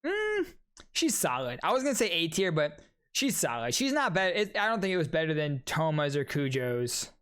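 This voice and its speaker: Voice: mid-range voice, big pitch swings, moderate pace, fairly bright tone, clean tone. A video host giving casual, enthusiastic fan commentary while reacting to trailers. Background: music from the trailers.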